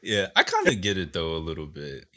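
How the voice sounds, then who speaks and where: A man's voice: sits low, huge pitch swings, fairly quick, bright, forceful tone. A man co-hosting a podcast in casual, slangy chat, giving his opinions freely. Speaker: podcast co-host.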